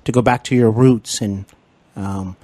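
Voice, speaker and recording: A man's voice: fairly low pitch, fairly even intonation, a little slow, dark tone, no echo. An American man hosting a conversational interview in a courteous, effusive and wordy manner. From Cincinnati, Ohio, United States. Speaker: podcast host and interviewer.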